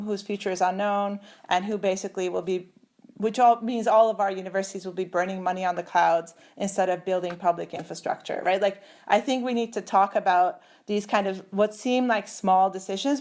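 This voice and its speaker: A woman's voice: medium pitch, a little mellow. Woman guest, middle-aged, from Turkey; thoughtful, filler-heavy delivery on a video call. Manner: thoughtful, many fillers and restarts. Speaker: guest, computer scientist. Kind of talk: conversational interview.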